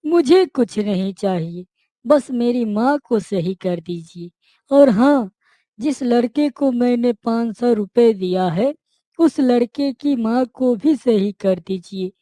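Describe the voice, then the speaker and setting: Woman as a slow, gentle village lad. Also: on the high side, lively intonation, no echo, clean studio sound. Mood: earnest, pleading. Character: simple kind-hearted young village son, humble. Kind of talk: cartoon moral story dialogue.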